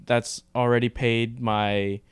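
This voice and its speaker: A man's voice: mid-range voice, little pitch movement, slow, clear, resonant tone, no room sound, clean studio sound. A young man co-hosting a podcast, whose first language was not English, chatting casually and joking in slang. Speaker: podcast co-host. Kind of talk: casual chat.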